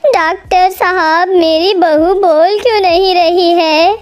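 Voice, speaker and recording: Woman voicing a slow, high, kindly mother-in-law bird. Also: steady tone, soft background music. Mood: worried. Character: kind protective elderly mother-in-law bird, female, gently firm.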